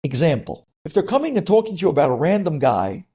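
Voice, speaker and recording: Emphatic rabbi with a mellow, higher-set voice. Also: male voice, sing-song, fast talker, slightly rough. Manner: emphatic, didactic, repeats key phrases and poses questions. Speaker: rabbi giving religious class. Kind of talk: sermon-like religious lecture with anecdotes and examples.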